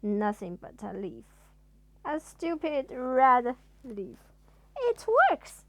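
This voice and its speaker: A woman storyteller reading a children's bedtime story aloud in an expressive way. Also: fairly high pitch, slow, no room sound.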